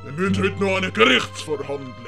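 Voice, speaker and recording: A man playing a gruff, menacing villain nobleman in an amateur audio drama, snapping curt commands at his captives. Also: high-pitched, animated, fairly quick, over music. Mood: threatening.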